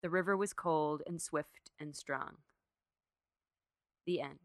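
A woman's voice: deep voice, slow pace. A woman giving a scripted narration of a short story.

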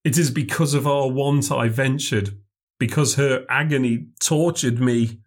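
A male narrator plays a shipwrecked man calling from the sea in an audio story. His voice is lifted well above a low register, hopeless and fearful. He pleads humbly in stiff, old-fashioned phrases. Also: unhurried, darkish tone, lightly acted. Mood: anguished, pleading.

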